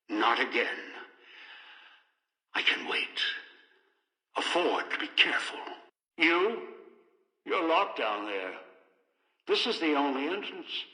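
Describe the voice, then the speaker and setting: An older actor playing a cold, paranoid, scheming old man in a video game, with deliberate, halting, contemptuous delivery. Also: mid-range voice, pushed and bright, echoey room, big-room sound, full character voice, rough recording. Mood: guarded, suspicious. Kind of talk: dramatic video game voice acting.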